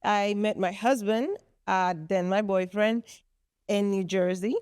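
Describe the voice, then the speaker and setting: A woman's voice: mid-range voice, fairly even intonation, measured pace, darkish tone, clean tone, dry recording. A woman from the Republic, a podcast guest explaining her business at length with earnest fillers. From Dominican Republic. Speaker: guest, co-founder of a business space. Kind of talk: podcast interview.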